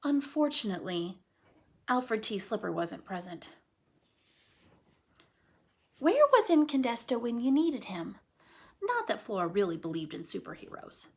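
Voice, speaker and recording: Female children's storyteller, slightly high, very animated pitch, dramatic stretched words and hushed asides. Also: a little slow, long pauses. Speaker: children's read-aloud storyteller. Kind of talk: expressive storybook narration with chatty asides to children.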